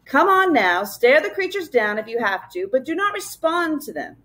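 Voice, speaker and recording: A woman voicing a steady, polite male professor in a children's storybook, speaking with calm, encouraging emphasis. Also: sits high, moderate pace, voice pushed up. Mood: firm resolve. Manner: emphatic, courteous, determinedly calm.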